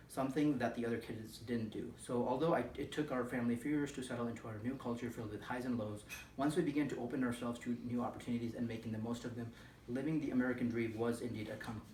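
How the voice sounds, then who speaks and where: A young man from Nepal, a native Nepali speaker, reads a formal personal speech at a brisk clip. He stays earnest and often stumbles and restarts a phrase. He is heard from far off in an echoey room with faint background noise. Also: mid-range voice. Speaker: student speaker. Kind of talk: reading a prepared personal speech.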